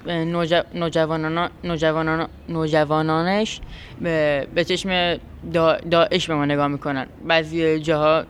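A man's voice: on the high side, flat delivery, racing, fairly bright tone, a little hiss or hum. A teenage boy of about fifteen giving spontaneous testimony as a young refugee, often restarting his words. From Afghanistan.

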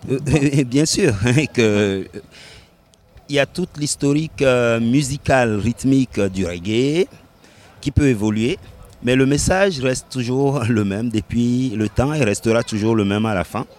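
A man from Benin answering interview questions about his music, unhurried, pausing often and laughing lightly between thoughts. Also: mid-range voice, darkish tone. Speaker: reggae musician.

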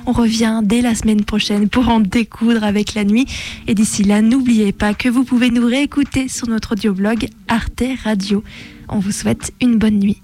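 A woman presents a radio programme from a script. Her voice is on the high side, yet the tone is very mellow and rounded, with no sharp edge. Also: steady tone, moderate pace. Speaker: radio host.

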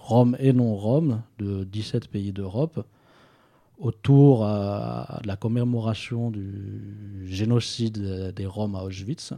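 A man speaking as an interviewed project representative, explaining in a halting, measured, thoughtful way. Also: fairly low pitch, gravelly, mellow.

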